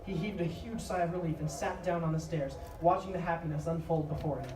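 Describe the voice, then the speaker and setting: A woman's voice: lowish voice, fairly quick. Woman narrating live, distant and echoey. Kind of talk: dramatic scripted story narration, live. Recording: echoey live stage, distant noisy mic.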